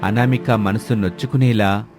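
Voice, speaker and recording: A man's voice: fairly low pitch, steady tone, moderate pace, a little mellow, faint music behind. A man reading a scripted story as its narrator.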